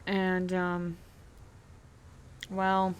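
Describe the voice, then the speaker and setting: A young woman talks in a slightly low voice, stopping and restarting often as she plays a game. She is recorded in her bedroom with a faint background hiss. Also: completely flat delivery, very slow. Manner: hesitant, lots of ums and restarts, self-deprecating; talks while playing a driving video game. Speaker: vlogger. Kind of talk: casual personal story time.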